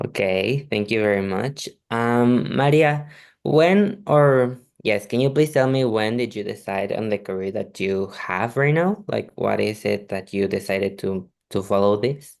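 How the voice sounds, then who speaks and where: A man conducting an oral speaking exam, polite and measured, with occasional restarts. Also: on the low side, vocal fry. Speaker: examiner.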